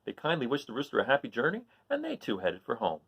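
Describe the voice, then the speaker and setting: A man reading a picture book aloud to children, pausing to count along and quiz his young listeners. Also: mid-range voice, wildly swooping pitch, gravelly, a bit bright, slightly rough, muffled recording.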